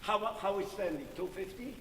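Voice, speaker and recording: An older man with a Polish accent and a high voice works a live charity auction. His phrasing is halting and teasing, full of jovial banter. He is picked up from a distance in a noisy, reverberant hall. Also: lively intonation. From Poland. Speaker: musician running a charity auction. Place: large indoor hall at a live event. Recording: echoey hall, distant mic, clear room noise.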